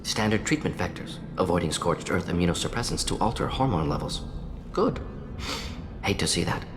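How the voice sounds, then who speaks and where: A man playing a brisk, clinical, proud and principled alien scientist in a video game, speaking in clipped telegraphic phrases. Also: low voice, expressive. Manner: clipped telegraphic phrases, dropping small words. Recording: reverberant hall, music underneath.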